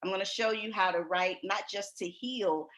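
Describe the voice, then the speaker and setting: Brief: woman host, writing coach; bright, loud, folksy, laughs a lot. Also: mid-range voice, normal speed. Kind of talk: lively live-stream talk.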